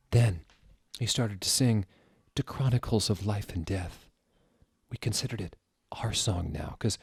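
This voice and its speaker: A man's voice: on the low side, darkish tone, breathy edge, no room sound, clean studio sound. A man giving a dramatic, comedic reading of fan fiction as its narrator. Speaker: reader.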